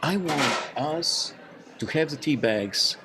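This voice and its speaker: A man's voice: fairly high pitch, animated, takes it slowly, background noise. A man chatting and joking casually as a panelist on a podcast.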